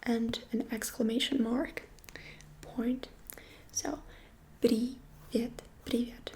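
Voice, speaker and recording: Slow whispering woman teaching phrases. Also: on the high side, little pitch movement. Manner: soft whisper, slow repetitions. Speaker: language teacher. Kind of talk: whispered ASMR lesson.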